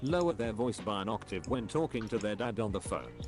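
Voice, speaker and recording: A man with a somewhat low voice reads forum posts aloud at a flat, steady pace. Clear music plays underneath him the whole time. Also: brisk. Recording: music playing loudly behind.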